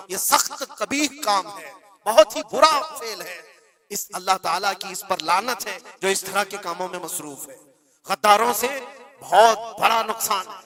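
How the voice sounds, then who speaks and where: High-pitched, forceful Indian male preacher. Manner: emphatic, exhorting, repeats phrases for weight. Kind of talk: impassioned religious sermon.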